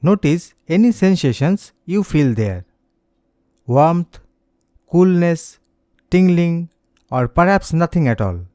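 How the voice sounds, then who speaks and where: A man leading a slow guided meditation as an instructor, speaking in a gentle, soothing, scripted manner. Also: on the high side, very animated, no room sound, studio quality.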